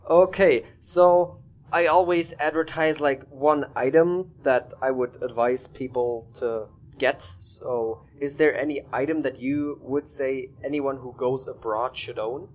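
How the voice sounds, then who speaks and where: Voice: medium pitch, measured pace, a little mellow. An interviewer hosting a casual podcast, heard through a slightly muffled, lo-fi recording. The delivery is informal and conversational. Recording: dull, lo-fi sound.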